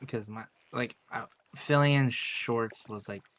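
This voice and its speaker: A man's voice: mid-range voice, slow, a bit bright, slight background noise. A man chatting casually on a gaming stream, rambling and filling his talk with "like". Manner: rambling, heavy use of "like". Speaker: streamer co-host.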